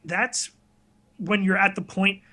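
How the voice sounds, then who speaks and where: Male video creator as interviewee, high-pitched, informal, modest and self-deprecating. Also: steady tone, takes it slowly, bright, forceful tone. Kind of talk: casual chat.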